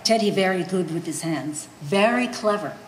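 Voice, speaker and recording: A woman's voice: on the low side, lively intonation, moderate pace, natural voice. A woman playing a blunt eighty-year-old Polish immigrant mother who speaks broken English, in a staged play reading. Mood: matter-of-fact. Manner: broken English with dropped verbs and articles. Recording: echoey hall, faint background noise.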